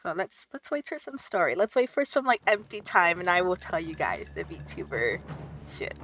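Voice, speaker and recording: A woman's voice: on the low side, sing-song, normal speed, a bit bright. A woman VTuber giving casual live commentary on a game, with blunt, playful interjections. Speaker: VTuber streamer.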